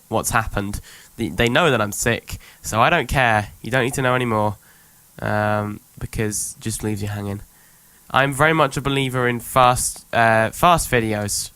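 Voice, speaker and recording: A man's voice: medium pitch, a bit bright, clear, resonant tone. A young man with a voice worn by illness, walking through his video editing in a casual, rambling screen-recorded tutorial full of ums. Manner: rambling, thinks aloud with many ums. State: sick, voice worn. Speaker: youtuber showing his editing.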